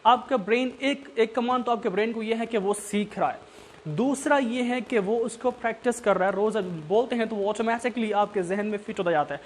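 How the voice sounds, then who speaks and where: A man, a Saraiki speaker, with a fairly high voice, talks learners through tips in a loose, unscripted lesson. He repeats his points and keeps checking that everyone is following. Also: normal speed, slight background noise. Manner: repetitive, coaxing, often checks understanding. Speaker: English tutor. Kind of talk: informal instructional talk.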